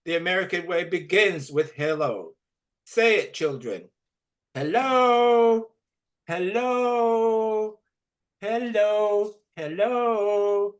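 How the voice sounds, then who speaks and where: Brief: a preachy schoolteacher lady, pitched up high and overdone, as a man performs her. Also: extremely slow. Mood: coaxing. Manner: exaggerated, sing-song, over the top. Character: pompous condescending woman schoolteacher.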